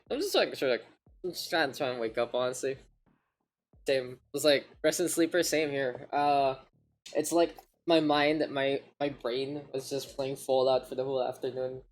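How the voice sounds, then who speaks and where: A man's voice: medium pitch, a little hiss or hum. A man with a Filipino accent casting an esports match on a live stream, chatty and rambling, often repeating himself. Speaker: esports caster.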